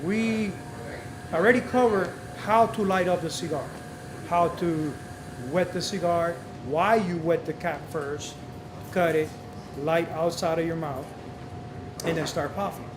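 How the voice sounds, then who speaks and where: A man's voice: fairly high pitch, unhurried, background noise. A man whose first language is not English hosts a live cigar lesson in a cigar shop, coaching patiently and repeating key points. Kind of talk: live instructional talk. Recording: echoey room with crowd chatter.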